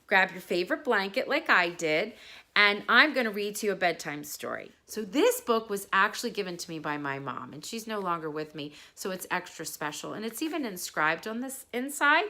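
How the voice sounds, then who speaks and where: A woman's voice: medium pitch, lively intonation, moderate pace, sharp tone. A woman hosting a children's bedtime story segment, talking to young listeners in a simple, friendly way and reading aloud. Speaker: children's storytime host and reader.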